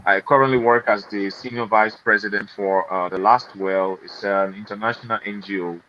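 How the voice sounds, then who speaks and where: A man's voice: on the low side, fairly even intonation, normal speed. A man from Liberia, a guest, talking earnestly about his work and family with many fillers. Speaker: guest, NGO executive. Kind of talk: conversational interview. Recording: slightly dull, faint noise.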